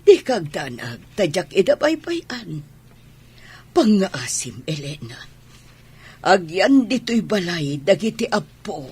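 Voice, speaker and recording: A woman playing an elderly, doting mother-in-law in an Ilocano audio drama, pleading and soothing in a husky, breathy voice. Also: on the low side, sing-song, slow, darkish tone, exaggerated voice.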